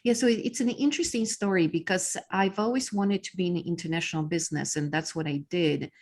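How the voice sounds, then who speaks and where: Low-voiced Polish-accented woman coach. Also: brisk, darkish tone. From Poland. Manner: frequent fillers, earnest storytelling. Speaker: podcast guest, business coach. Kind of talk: casual interview talk.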